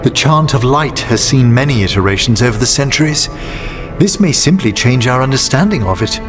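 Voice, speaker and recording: A man playing a courtly, scholarly gentleman necromancer in a fantasy game, speaking eloquently and formally with affection. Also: medium pitch, expressive, fairly quick, music in the background, lightly acted.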